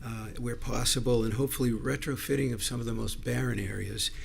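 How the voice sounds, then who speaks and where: A man delivers prepared remarks as a resident at a public meeting. His tone is measured, formal and critical, at a middling pitch, with faint noise from the meeting room behind him. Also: fairly even intonation, vocal fry. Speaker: resident speaking in public comment. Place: indoor city commission meeting room. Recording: noisy meeting-room microphone recording.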